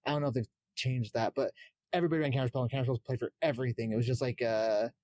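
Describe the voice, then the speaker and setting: Guy on a gaming podcast, talks fast and casual, dry sound. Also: mid-range voice, fairly even intonation, darkish tone, no room sound. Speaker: podcast panelist.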